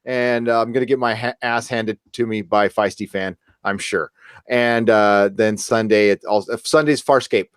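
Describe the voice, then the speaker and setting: A man's voice: on the low side, steady tone, normal speed, smooth, no room sound, clean studio sound. A man hosting a live podcast in casual chat, rambling and joking, with some swearing. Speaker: podcast host.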